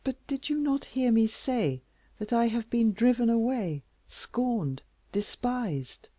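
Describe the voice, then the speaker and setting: A reader playing a gentle, humble young woman who speaks softly and pleads in a slow audiobook reading, recorded dry and close. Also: mid-range voice, expressive, dry recording, pitched above the performer's own voice. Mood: hushed imploring. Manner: soft, pleading, at times whispered.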